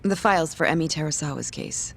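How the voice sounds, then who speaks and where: An actress playing a cool, reserved young woman in an English-dubbed video game cutscene, terse and deadpan with a creaky voice. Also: low-pitched, brisk, slight background noise, full character voice. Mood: cool, measured.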